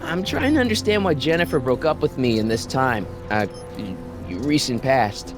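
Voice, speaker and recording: A man's voice: mid-range voice, expressive, normal speed, music in the background, close to an everyday voice. An actor playing an earnest, puzzled American teenage boy in a video game, speaking casually and hesitantly. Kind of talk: animated video game dialogue acting.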